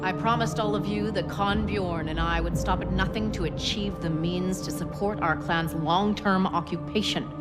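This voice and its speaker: An actress as a self-assured female military commander in a sci-fi game. Her voice is low for a woman, formal and composed, carrying authority over very loud music. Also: fairly even intonation, moderate pace, few pauses, close to an everyday voice. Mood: solemn resolve. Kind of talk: video game cutscene acting.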